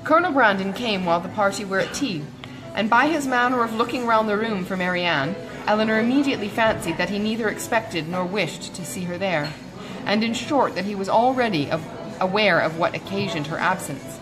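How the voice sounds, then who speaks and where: Female narrator for a classic novel, scripted reading with lively pitch movement over music. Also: brisk, rough recording. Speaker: audiobook reader.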